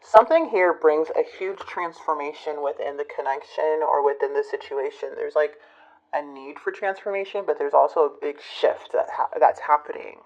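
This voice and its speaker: A woman giving a casual tarot reading to her viewers, musing and hesitant, her voice a bit hoarse from a recent illness. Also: low-pitched, normal speed, darkish tone, lo-fi sound. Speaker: tarot reader.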